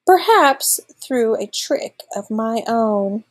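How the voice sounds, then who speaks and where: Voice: medium pitch, lively intonation, slow. Woman playing a grumbling old storybook wolf. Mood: scheming.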